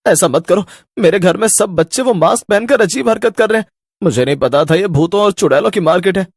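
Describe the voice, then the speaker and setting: A man's voice: high-pitched, expressive, a little fast, no echo, clean studio sound. A man playing an anxious, bewildered husband and father in a cartoon horror story, with exclamatory delivery. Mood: shocked, pleading. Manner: exclamatory, worried, puzzled.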